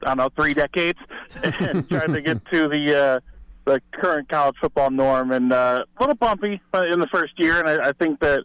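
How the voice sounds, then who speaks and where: A man's voice: fairly high pitch, normal speed, fairly bright tone, clean tone. Man on slightly dull remote line. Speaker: sports reporter, show guest. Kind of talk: conversational football analysis.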